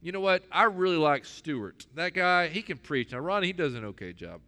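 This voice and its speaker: A man's voice: fairly high pitch, expressive, fairly quick, bright, forceful tone. A male pastor preaching a sermon to his church, earnest, acting out the voices he quotes. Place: church hall. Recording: long echo of a large hall.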